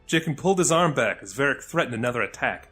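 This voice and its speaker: A man's voice: mid-range voice, animated, quick pace, fairly bright tone, breathy edge, faint music behind. A man reading scripted fantasy fiction as the narrator of an audio drama.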